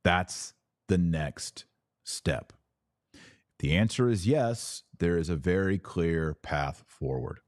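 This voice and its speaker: Male podcast host, low register, dry sound. Emphatic, deliberate religious commentator. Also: no echo. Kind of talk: religious commentary with read-aloud passages.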